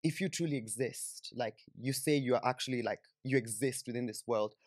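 Young kenyan guy on a podcast telling his story, chatty n informal, average pitch, really dry studio sound. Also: brisk, no echo. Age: young adult. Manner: informal, frequent "like" and "you know". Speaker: podcast guest. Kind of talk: conversational personal storytelling. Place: small indoor podcast studio, on a sofa. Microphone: close studio microphone on boom arm.

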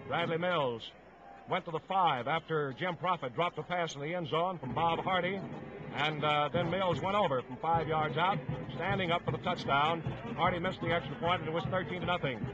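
A man's voice: fairly high pitch, normal speed, lo-fi sound, music in the background. A man calling play-by-play for a 1950s radio football broadcast in clipped, staccato phrases. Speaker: play-by-play sports announcer. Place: stadium broadcast booth.

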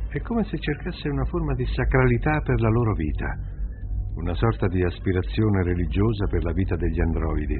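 A man acting a weary, guarded bounty hunter in a radio drama, terse and low-key. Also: animated, fairly quick, a little hiss or hum. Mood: grim, businesslike. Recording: tinny narrow-band radio broadcast, music behind.

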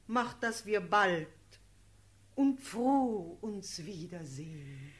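A woman's voice: mid-range voice, extremely slow. An actress playing a gracious, motherly noblewoman in a classical radio drama, with formal manners.

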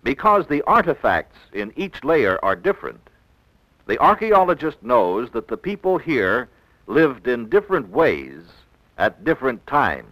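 An American man narrating a 1960s educational film in a measured, didactic, clearly enunciated way, on an old film soundtrack. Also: medium pitch, wildly swooping pitch, fairly bright tone, no room sound, rough recording.

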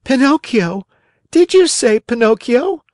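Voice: medium pitch, very animated, measured pace, darkish tone, no echo, studio quality, voice pushed up. A narrator voicing an emotional, impulsive young wooden marionette boy in a storybook. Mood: eager, anxious.

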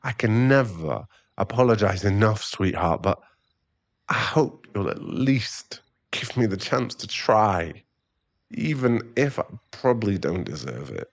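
Man playing a low-voiced, apologetic, stammering boyfriend. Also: big pitch swings, vocal fry, no echo, heavily acted. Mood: remorseful, earnest. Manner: halting, stammering, soft-spoken apology. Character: remorseful werewolf boyfriend and expectant father, apologetic, tender. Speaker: ASMR roleplay performer. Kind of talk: intimate ASMR boyfriend audio roleplay.

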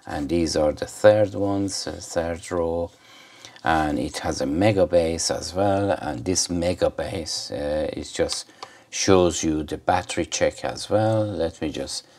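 A man's voice: low-pitched, a little mellow, a little hiss or hum. A man giving a casual, unscripted product demonstration, rambling and hesitant as he goes. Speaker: gadget reviewer.

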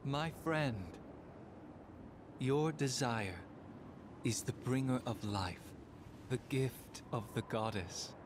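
Man acting a very slow, theatrical poetic villain. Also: medium pitch, noisy background, natural voice. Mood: solemn, brooding. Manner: grandiose, measured, portentous. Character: theatrical, poetic male villain reciting verse. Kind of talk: solemn dramatic recitation in a video game.

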